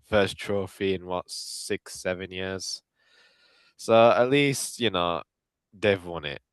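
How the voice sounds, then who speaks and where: A man's voice: sits low, takes it slowly, smooth, no echo. A man from England, co-hosting a football podcast, blunt and opinionated, laughing often. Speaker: podcast co-host. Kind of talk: casual podcast chat.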